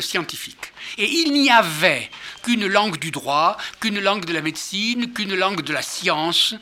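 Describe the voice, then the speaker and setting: Older male lecturer: high, piercing voice, halting delivery, rhetorical questions to the audience. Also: pushed and bright. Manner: hesitant, many fillers and restarts, rhetorical questions. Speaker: author and lecturer.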